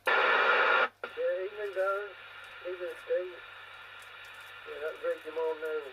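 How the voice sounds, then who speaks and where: Flat-toned British man, noisy CB radio. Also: sits high, takes it slowly. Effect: CB radio transmission. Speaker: CB radio operator. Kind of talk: casual radio chat.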